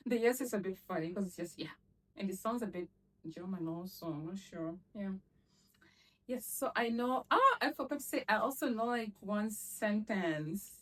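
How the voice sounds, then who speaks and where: A woman's voice: mid-range voice, distant mic. A young woman chatting casually to camera as a vlogger, laughing often and filling her talk with "like".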